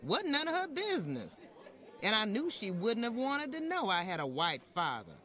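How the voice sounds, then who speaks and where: A woman playing an outspoken, unapologetic former maid, telling her story in a folksy, plainspoken way with dramatic pauses, in old-time radio style. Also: fairly high pitch, wildly swooping pitch, fairly bright tone, some chatter behind. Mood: defiant.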